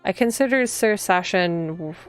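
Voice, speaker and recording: A woman reading as an earnest, uneasy temple police officer who narrates a visual novel in a measured way. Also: mid-range voice, lively intonation, soft background music, natural voice.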